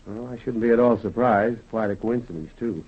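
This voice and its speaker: An actor playing a genial middle-aged small-town doctor and father in a 1930s radio drama, kindly and measured. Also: on the low side, slightly rough. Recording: muffled, hissy 1930s radio recording.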